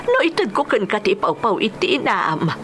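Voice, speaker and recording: A woman's voice: mid-range voice, wildly swooping pitch, normal speed, background noise. An actress playing an exasperated, scolding grandmother in a radio drama, with a Filipino accent and reproachful exclamations.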